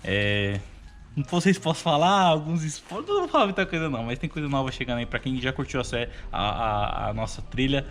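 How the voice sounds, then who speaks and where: A man's voice: medium pitch, big pitch swings, brisk, fairly bright tone. A Brazilian man from a radio drama cast, chatting casually and teasingly in a promotional interview. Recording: music behind the voice.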